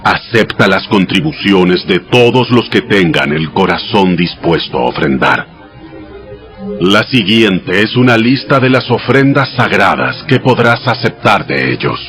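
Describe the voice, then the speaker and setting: A man playing God in a dramatized audio Bible, giving sacred instructions in a solemn, authoritative, measured way. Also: on the low side, fairly bright tone.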